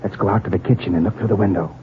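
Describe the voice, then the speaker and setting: A man plays a suspicious, determined husband in an old radio comedy. He talks very quickly and urgently, with a tinny sound and faint hiss. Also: low-pitched, steady tone, close to an everyday voice. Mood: hushed, urgent. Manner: brisk, urgent. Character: determined, suspicious small-town husband and father. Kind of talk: 1940s radio comedy acting.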